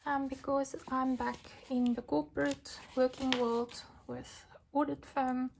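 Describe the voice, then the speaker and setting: A young adult woman from South Africa, husky as she recovers from illness, chatting casually and rambling with fillers while crafting at home. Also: sits high, flat delivery, unhurried, a little mellow, poor audio. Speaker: diamond painting vlogger. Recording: home recording with craft crinkling noise. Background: crinkling packets and clicks.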